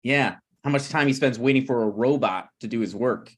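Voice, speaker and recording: A man playing a brazen, scheming slacker screenwriter in a table read of a TV pilot, blunt and presumptuous with halting phrasing. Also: mid-range voice, natural voice. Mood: pushy, conniving.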